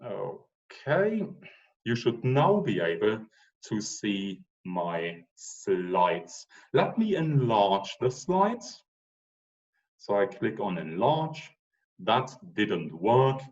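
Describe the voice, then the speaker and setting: Male professor, 40s, German accent, lowish animated voice, chatty online lecture, muffled lo-fi call audio. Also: drawn-out words, recorded from a distance. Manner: relaxed, chatty, with frequent ums.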